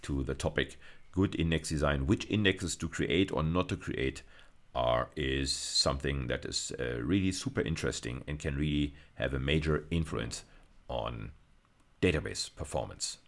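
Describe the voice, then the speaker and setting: A man's voice: very deep voice, normal speed, creaky, a bit bright. A middle-aged man giving a video lecture, explaining step by step and often restating key phrases. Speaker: university lecturer.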